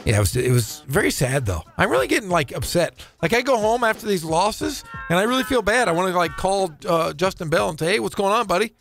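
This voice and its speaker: A man's voice: high voice, expressive, fast, few pauses, a bit bright, soft background music. A man co-hosting a sports radio show, joking and teasing in casual studio banter. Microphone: studio boom mic.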